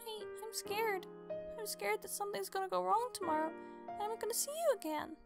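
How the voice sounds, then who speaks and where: A woman's voice: very high voice, brisk, gravelly, music in the background, voice pushed up. A woman voicing a frightened but plucky little filly pony in a storybook reading. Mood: scared.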